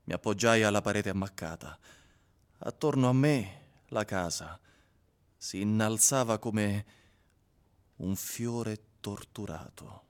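An actor playing a haunted man recounting his ordeal in a measured, theatrical literary radio reading. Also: on the low side. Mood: grave, recounting.

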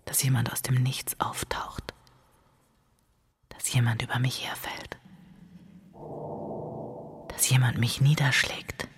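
An actress playing a woman narrating a dark, trancelike inner monologue in hushed, breathy, deliberate short phrases. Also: a bass voice, little pitch movement, in a whisper. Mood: hushed, eerie. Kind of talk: intimate audio drama monologue.

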